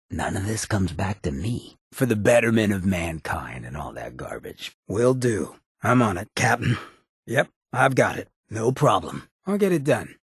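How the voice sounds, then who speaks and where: Streetwise male ghoul drifter, extremely husky rasp, cocky slangy drawl, roguish with a conscience, video game dialogue, dry studio sound. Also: low-pitched, no echo, heavily acted. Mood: sly, confiding. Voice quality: very raspy, gravelly.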